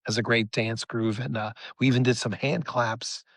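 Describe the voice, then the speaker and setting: A man's voice: medium pitch, little pitch movement, normal speed, dry recording, clean studio sound. A middle-aged man chatting casually as a musician guest in an interview, enthusiastic and rambling, often restarting his thoughts mid-story. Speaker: rock musician, podcast guest.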